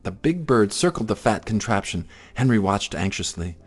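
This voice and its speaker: A man narrating a children's story in an expressive, theatrical way. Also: on the low side, normal speed, slightly rough, faint music behind. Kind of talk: dramatic children's story reading.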